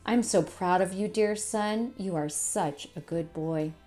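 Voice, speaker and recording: A woman's voice: on the low side, a little slow, warm tone, soft background music, natural voice. A woman voicing a patient, firm and loving mother in a children's storybook. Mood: proud. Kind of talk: children's storybook read-aloud.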